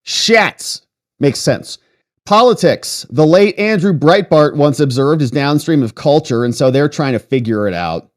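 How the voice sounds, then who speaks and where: A man's voice: on the high side, lively intonation, measured pace. An American man hosting political commentary, reading articles aloud and breaking in with sarcastic, mocking asides.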